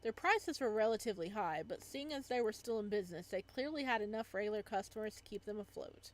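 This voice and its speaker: A woman's voice: mid-range voice, expressive, fast. A woman doing a casual let's play, reading story text aloud with side comments and sometimes stumbling over words. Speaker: gamer reading a visual novel aloud.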